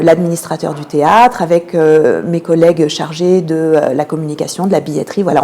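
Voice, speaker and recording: A woman giving explanatory answers as a guest in a radio interview, often pausing on drawn-out hesitations. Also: on the low side, dark tone. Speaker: theatre programming manager, interview guest.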